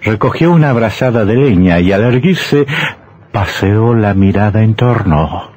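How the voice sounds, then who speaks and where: A gleefully macabre male narrator tells horror on a noisy vintage radio drama. He speaks very slowly, in a lowish voice, stretching words and pausing theatrically with a mocking air. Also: lively intonation, dull audio. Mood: gleeful, sinister.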